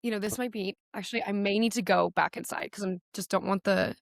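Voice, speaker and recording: A woman's voice: mid-range voice, steady tone, fast talker, vocal fry, no room sound, clean studio sound. A woman from Texas chatting casually as a podcast guest, informal and peppering her talk with filler words like "like" and "kind of". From Dallas, Texas, United States. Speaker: podcast guest, sports publicist, writer and presenter. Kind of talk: casual chat.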